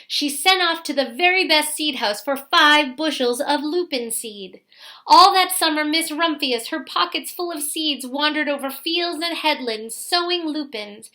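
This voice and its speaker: A woman with a high, bright voice reads a picture book aloud to children. Her delivery is expressive storytelling, with the manner of a teacher drawing young listeners in. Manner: pauses to ask young listeners questions.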